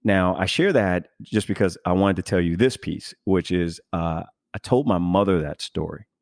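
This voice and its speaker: A man with a deep voice talks in a very dry acoustic as a podcast host. He feels his way through each thought in a casual, reflective style, earnest and emphatic, often stopping to find the next word. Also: gravelly, a little mellow, dry recording. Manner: halting, searching for words, earnest.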